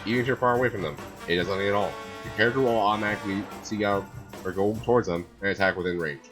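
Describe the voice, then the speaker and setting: Hoarse low-voiced man doing let's play. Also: racing. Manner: rambling, lots of filler "like". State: hoarse from a cold. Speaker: gameplay streamer. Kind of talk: casual let's-play commentary. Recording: gameplay with loud game music.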